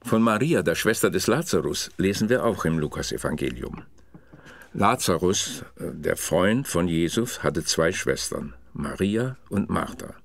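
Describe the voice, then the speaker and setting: Deep-voiced storytelling father. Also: lively intonation, vocal fry, heavily acted. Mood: explaining. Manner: measured, explanatory. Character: patient father telling Bible stories to his child. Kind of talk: storytelling in German audio drama.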